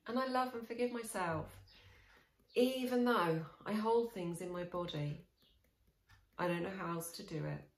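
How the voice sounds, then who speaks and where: Slow, gravelly woman guiding tapping. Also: fairly low pitch. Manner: slow, soothing, repetitive affirmations with sighs. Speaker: EFT tapping coach. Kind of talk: guided tapping session, repeated phrases. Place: small room indoors. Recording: echoey small room.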